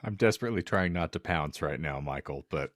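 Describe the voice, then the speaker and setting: A man's voice: a bass voice, fairly quick, no room sound, studio quality. A man hosting a casual panel podcast, speaking in wordy, elaborately formal phrases. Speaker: podcast host.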